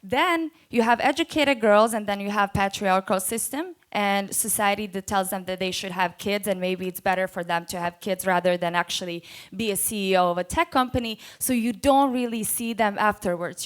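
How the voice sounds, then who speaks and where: A woman from Bosnia and Herzegovina with a Bosnian accent, a non-native English speaker giving an earnest, unscripted talk as a panelist at a conference workshop, with many filler pauses. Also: mid-range voice. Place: conference room.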